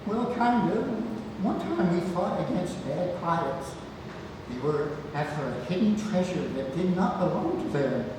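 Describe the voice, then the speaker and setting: A man playing a spirited old grandfather who tells adventure tales emphatically in a stage play read-through. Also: fairly high pitch. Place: indoor theatre, recorded from afar. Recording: roomy echoing hall, distant mic, noisy.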